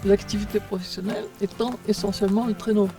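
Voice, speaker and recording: A woman's voice: mid-range voice, level tone, fast talker, vocal fry, music in the background. A woman chatting casually as a visiting interviewer in a documentary.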